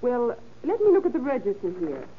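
A woman's voice: a little high, wildly swooping pitch, a little fast. An actress playing a chatty, helpful young landlady in a 1930s-style radio crime drama. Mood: helpful, matter-of-fact. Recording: muffled, noisy old radio recording.

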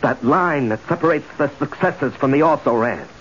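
An actor playing an anxious, ambitious husband in a 1970s radio drama, flustered, stammering and urgent. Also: male voice, a little high, normal speed, a bit bright, natural voice. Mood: exasperated, urgent. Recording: dull, noisy old radio recording.

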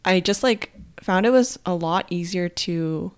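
A young woman co-hosting a podcast, her voice a little low and very smooth. She muses in a relaxed, casual way, full of fillers. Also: measured pace. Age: young adult. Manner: relaxed, reflective, uses many fillers. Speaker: podcast co-host. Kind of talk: casual chat.

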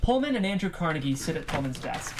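A woman's voice: low voice, very animated, fast talker, background noise. A woman giving scripted narration, reading out the stage directions of a screenplay.

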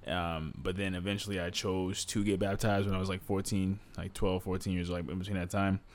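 A man's voice: low-pitched, level tone, a little fast, slight background noise. A man in his twenties chatting casually as a podcast guest, rambling and repeating himself. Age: 20s. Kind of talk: casual chat.

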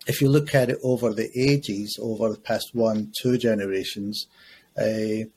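A man in his fifties, a longtime educator, speaking as a podcast guest in a measured, reflective way with frequent hesitations. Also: lowish voice, steady tone, darkish tone, a little hiss or hum. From Scotland. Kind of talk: conversational podcast interview.

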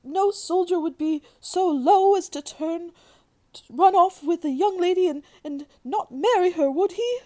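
A fussy middle-aged mother voiced by an actress in a radio play. Her voice sits very high and soft-edged, and she talks in a gushing, fluttery, dramatic way. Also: unhurried. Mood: distraught wailing.

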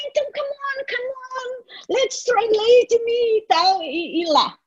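A Brazilian woman with a high voice and a Brazilian Portuguese accent recounts her story slowly and unhurriedly. She acts out the conversations she remembers, so her storytelling stays lively. The recording is dull and lo-fi. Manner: animated storytelling, acts out quoted dialogue. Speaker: speaker telling her business story. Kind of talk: personal testimony to an audience. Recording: dull lo-fi audio.

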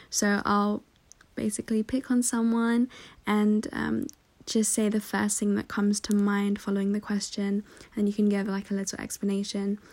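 A woman's voice: mid-range voice, gravelly, a little mellow. A woman in her twenties, a podcast co-host, introducing herself in a composed, precise way with small laughs. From half Filipino, half Italian, living in London. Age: 20s.

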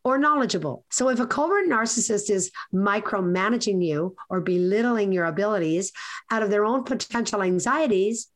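A raspy, hoarse woman hosts her podcast, talking through advice in an earnest, meandering way. Her pitch sits in a middling range. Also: moderate pace. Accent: Canadian. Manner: earnest, lecturing, rambling. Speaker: podcast host. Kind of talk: casual advice talk.

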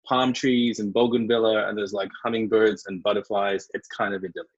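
A man from Sri Lanka, a consultant and author, telling his story in a relaxed, reflective way as a guest over a video call from his home studio. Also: on the low side, brisk, slightly rough, dry recording, dull audio.

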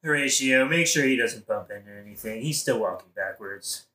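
A man's voice: on the low side, lively intonation, normal speed, bright, forceful tone. A man playing a scheming, flippant king in a modern comedic audio play. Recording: roomy echo.

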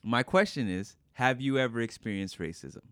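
A man's voice: medium pitch, expressive, moderate pace, a bit bright, clean tone, no echo. A man from the United States co-hosting a podcast, easygoing and questioning, often laughing as he talks. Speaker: podcast co-host. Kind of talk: casual conversational podcast.

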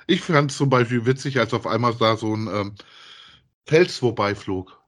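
A man co-hosting a casual podcast, speaking in a halting, deliberate way. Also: medium pitch, a bit bright. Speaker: podcast co-host.

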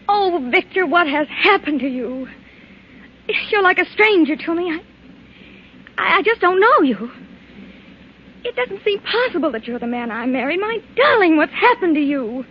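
An actress playing a distressed wife in old-time radio drama, soothing and pleading in a melodramatic way. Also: female voice, very high voice, big pitch swings, normal speed, pauses often. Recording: noisy, muffled old radio recording.

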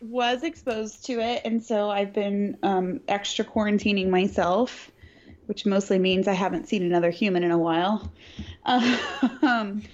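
A woman's voice: medium pitch, steady tone, measured pace, a little mellow, lo-fi sound. A woman co-hosting a podcast in casual, profane, joking chat, with a scratchy, partly lost voice. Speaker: podcast co-host.

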